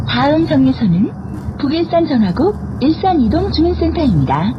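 A woman reading scripted transit stop announcements in a formal, clearly enunciated way. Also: sits high, big pitch swings, moderate pace, dull audio. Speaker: bus announcer. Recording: clear vehicle noise throughout. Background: bus ambience and vehicle noise.

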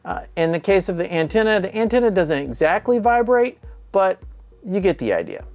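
A man with a slightly creaky voice, explaining step by step as host of a tech tutorial. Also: high voice, a little mellow, no echo.

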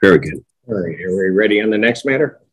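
Very deep, husky American male judge. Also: animated. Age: middle-aged. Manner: measured, deliberate, official phrasing. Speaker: judge presiding over a court hearing. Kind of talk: formal courtroom rulings. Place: courtroom bench on a video call.

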